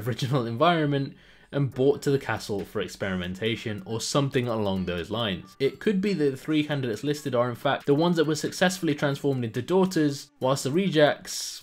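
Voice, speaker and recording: A man hosting a gaming channel, narrating a scripted video essay with enthusiastic, emphatic presenter phrasing. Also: mid-range voice. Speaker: YouTube gaming channel host.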